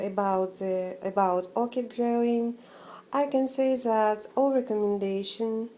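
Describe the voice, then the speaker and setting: A woman with a Russian accent, talking through a casual home vlog with many pauses, fillers and restarts. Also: mid-range voice, warm tone, slight background noise. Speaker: orchid-growing vlogger.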